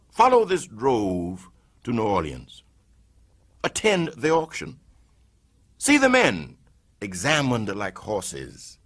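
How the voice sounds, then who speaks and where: An older man giving a solemn dramatic reading of a historical speech, rising to impassioned peaks and sinking to hushed tones. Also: medium pitch.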